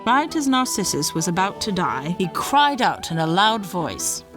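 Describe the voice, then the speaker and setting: A woman giving a scripted storytelling narration in a measured, dramatic way. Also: mid-range voice, sing-song, soft background music.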